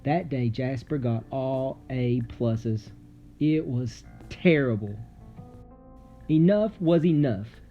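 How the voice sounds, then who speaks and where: A man reading a children's story aloud dramatically, with suspenseful, theatrical delivery and deliberate pauses. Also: medium pitch, muffled recording. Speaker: storybook narrator. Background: music.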